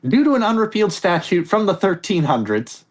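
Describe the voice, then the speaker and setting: A man's voice: high voice, big pitch swings, brisk. A man hosting a casual comedy podcast quiz, reading statements aloud and joking off on tangents. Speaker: quiz host.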